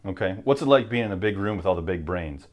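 Low, lively-pitched male interviewer, halting casual questions.

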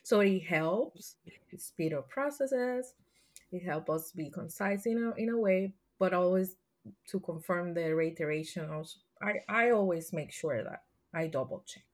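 A woman's voice: lowish voice, unhurried. Puerto Rican woman guest, thoughtful restarts. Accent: Puerto Rican Spanish. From Puerto Rico. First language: Spanish. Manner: thoughtful, with many restarts and soft laughs. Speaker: podcast guest. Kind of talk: casual interview answers.

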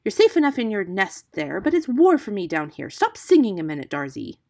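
A woman voicing a brave, impatient male mongoose in a storybook, scolding and forceful. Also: medium pitch, big pitch swings, brisk, breathy edge, voice pushed up. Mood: angry.

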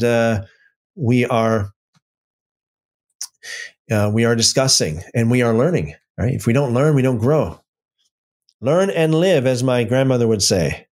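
A man hosting a livestream Bible study, reading out viewer comments and answering them with many restarts and fillers. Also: mid-range voice. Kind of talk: casual livestream Bible talk.

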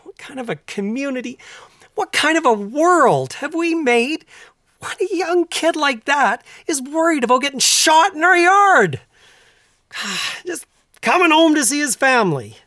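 An actor with a Canadian accent playing a troubled, earnest middle-aged rural husband in an audio drama, speaking plainly and haltingly. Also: male voice, extremely high pitch, very animated, bright, forceful tone, dry recording, studio quality, full character voice. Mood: anguished, angry. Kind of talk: naturalistic audio drama acting.

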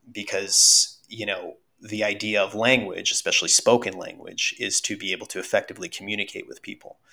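Gravelly, bright-toned man talking casually. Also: lowish voice. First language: Russian. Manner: thoughtful, many fillers. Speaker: podcast co-host. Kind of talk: casual conversation.

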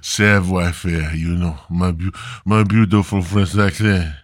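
A man hosting a solo comedy podcast, rambling casually and crudely with drawn-out, mock-grandiose theatrical delivery. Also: sits low, fairly even intonation, no room sound, clean studio sound. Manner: crude, foul-mouthed. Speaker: podcast host.

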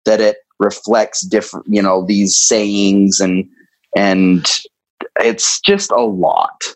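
A man in a casual group discussion, explaining slowly and drawing out his words. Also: lowish voice, expressive, creaky, poor audio.

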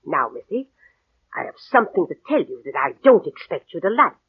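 A narrow, dated radio recording of a domineering older aunt. Her voice is husky and theatrical, with cutting, imperious phrasing that rises and falls widely in old-time radio style. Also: on the low side, big pitch swings, fairly quick, full character voice. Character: domineering, spiteful, ailing older rich aunt. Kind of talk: 1940s-50s radio drama acting. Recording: band-limited old radio broadcast.

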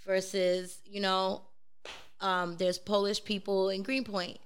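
A woman from South Brooklyn with a strong Brooklyn accent, a podcast guest in casual chat, animated and streetwise, swearing freely. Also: mid-range voice, slow pace, smooth. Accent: Brooklyn New York. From South Brooklyn, New York.

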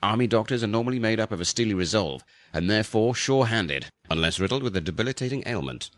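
An arrogant Victorian detective for an audio drama. The voice is very low and dryly recorded. He lays things out formally and at length, with cool condescension. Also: expressive, fast, fairly bright tone, dry recording. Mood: coolly deductive.

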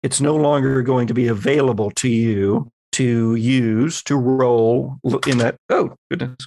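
A man acting as game master, explaining tabletop game rules in a measured, conversational, lightly playful way. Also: mid-range voice, steady tone, darkish tone, a little hiss or hum.

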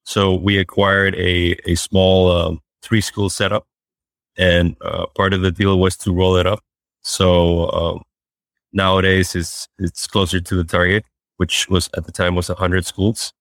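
A man being interviewed as a startup founder, answering in a halting way with fillers and restarts. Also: deep voice, level tone, fairly bright tone, dry recording. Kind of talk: remote interview. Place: Fort Worth, Texas.

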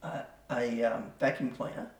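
A man's voice: medium pitch, steady tone, normal speed, distant mic. Older man telling a rambling yarn. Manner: rambling yarn-teller with slang and laughs. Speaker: podcast guest. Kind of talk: casual storytelling. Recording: roomy, from off the mic.

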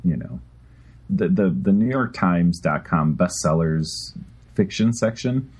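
A man's voice: deep voice, lively intonation, a little slow, a little mellow, breathy edge. A man co-hosting a podcast, rambling, interrupting himself, and laughing between words. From Chicago area. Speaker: podcast co-host. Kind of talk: casual podcast chat.

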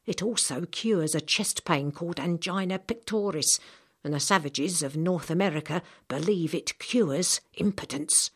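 A woman voicing a gruff, knowing old apothecary man with a measured, slightly lecturing manner in an audiobook. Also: on the low side, expressive, heavily acted. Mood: knowing, proud.

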